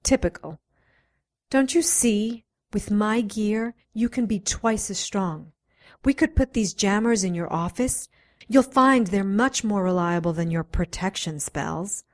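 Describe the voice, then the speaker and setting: Self-assured female doctor of magic woman acting for radio drama; mid-pitched, playful, coaxing, persuasive. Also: measured pace, natural voice. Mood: teasing, assertive. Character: confident, teasing female doctor of magic and science.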